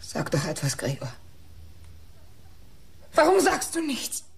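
Husky, volatile jilted young woman. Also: fairly high pitch, huge pitch swings, slow, heavily acted. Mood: desperate. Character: bitter, defiant jilted young woman suspect, breaking down. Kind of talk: German radio crime drama acting.

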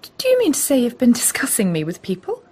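A woman's voice: fairly high pitch, wildly swooping pitch, fast talker, a little mellow. A woman acting. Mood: haughty, flustered. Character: haughty, pretty young society woman. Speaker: actor in an audio play. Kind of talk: British radio drama acting.

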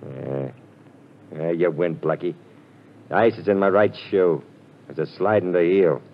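A man's voice: sits low, a little slow. An American man playing a cornered small-time crook with slangy tough-guy talk in a 1940s radio drama. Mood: sullen, beaten. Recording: muffled, noisy 1940s radio recording.

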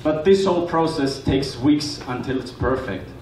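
A man in his twenties presenting a rehearsed pitch as a student on a hall stage. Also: moderate pace, a little mellow. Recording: echoey, noisy hall, distant microphone pickup.

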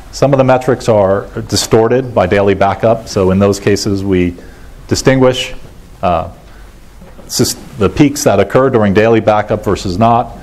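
Low-pitched middle-aged American man presenting. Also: darkish tone. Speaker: conference keynote speaker. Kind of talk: technical conference presentation. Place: large lecture auditorium, indoors. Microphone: lapel mic.

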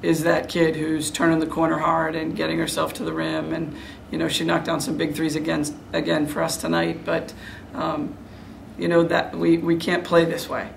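Middle-aged female coach, postgame interview: heavy vocal fry, even delivery, frank and serious. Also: low-pitched, normal speed, slight background noise, poor audio. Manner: frank, self-critical, serious. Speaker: head basketball coach. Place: indoor room before a press backdrop.

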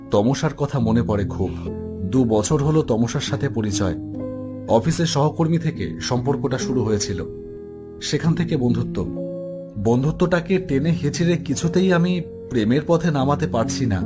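Guy telling a spooky story in first person, music playing behind, mid-low voice. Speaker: story narrator. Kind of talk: dramatized first-person ghost story narration.

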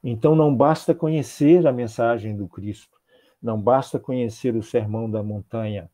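An older man with a Brazilian accent delivers a spiritual talk over a video call, his voice mellow and warm. He speaks in measured, rhythmic phrases, piling up repeated clauses. The sound comes through a small earphone mic in a home office. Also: mid-range voice. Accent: Brazilian Portuguese. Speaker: spiritist lecturer. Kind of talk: religious talk.

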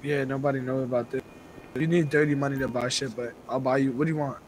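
A man with a mid-pitched voice chats casually as he plays an online roleplay game. His talk is slangy and sweary, with faint vehicle and game ambience behind it. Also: steady tone, quick pace, a little hiss or hum, rough recording. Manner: slangy, profane. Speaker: gaming video creator playing online roleplay.

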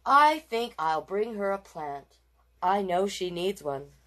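A woman's voice: mid-range voice, very animated, takes it slowly, a bit bright. A woman voicing an earnest, proud young schoolboy who exclaims eagerly in a children's storybook.

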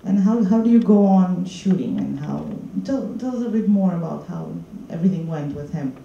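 A woman's voice: fairly low pitch, lively intonation, normal speed, gravelly, mellow. A woman moderating an on-stage talk after a screening, asking long, hesitant questions into a handheld mic. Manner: hesitant, many fillers and restarts. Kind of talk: stage Q&A interview. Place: stage of a screening hall, seated. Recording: muffled echoey hall, faint noise.

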